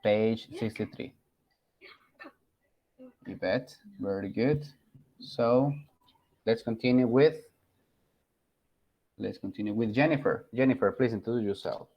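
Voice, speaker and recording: A man teaching an online English class, taking roll with relaxed, encouraging remarks. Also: fairly low pitch, a little slow, pauses often, lo-fi sound. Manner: relaxed, encouraging, switches into Spanish.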